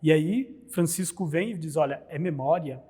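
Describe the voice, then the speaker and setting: A man's voice: fairly high pitch, moderate pace, mellow, breathy edge. A man from Brazil, a priest and liturgy scholar, explaining earnestly in a lecturing way with rhetorical questions. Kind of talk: explanatory religious talk. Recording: long hall reverb.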